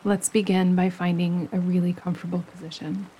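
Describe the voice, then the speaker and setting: A woman leading a guided relaxation meditation as a yoga nidra teacher, speaking gently and soothingly, with pauses between phrases. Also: lowish voice, slight background noise, low-quality recording. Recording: steady running stream water behind voice. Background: gentle running stream sounds.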